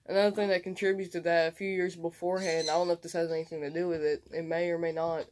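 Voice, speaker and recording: A young man recounting an experience casually and excitably in colloquial speech. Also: on the high side, fast talker. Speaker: co-host telling a personal story. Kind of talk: casual storytelling chat.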